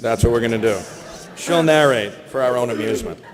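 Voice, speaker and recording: A man's voice: mid-range voice, fairly quick. A man casually hosting a live voice-acting panel on stage and directing the performers. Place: large convention hall on stage. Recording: echoey, noisy convention hall with crowd.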